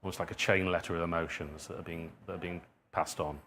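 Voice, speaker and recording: A man speaking conversationally at a live talk, answering questions in a halting way, circling back over his phrases. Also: low voice, fairly even intonation, fairly bright tone, a little hiss or hum. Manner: halting, circling and repeating phrases, chuckles at own remarks. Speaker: speaker, writer of audio drama. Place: indoor public event venue.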